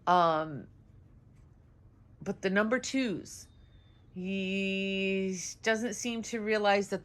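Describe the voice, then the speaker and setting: Casual home vlogger, woman, stuffy nose, sing-song with her pets, easygoing chatter. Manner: high sing-song baby talk to her dogs; chatty, many "um"s. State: congested, nasal. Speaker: vlogger, dog owner. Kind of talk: casual home vlog chat. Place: home, indoors.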